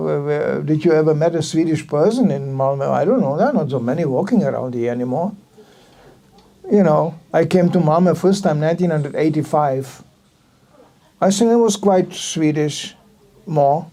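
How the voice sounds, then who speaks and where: An older man from the Republic, giving a sermon at a temple and telling stories in a lively way, acting out the quoted voices. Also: a little high. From Czech Republic. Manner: animated storytelling, acting out quotes. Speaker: visiting speaker at a temple.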